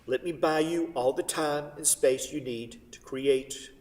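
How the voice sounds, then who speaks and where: A man's voice: fairly high pitch, a little slow, darkish tone, lightly acted. A man playing a regretful, controlling wealthy father in a staged play reading. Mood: persuasive. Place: theatre hall. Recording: distant mic in echoey hall.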